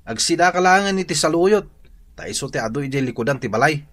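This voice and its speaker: A man's voice: a little high, animated, fast, a bit bright. A man playing an idle, defensive young boyfriend in a radio drama, with an Ilocano Filipino accent. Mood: exasperated.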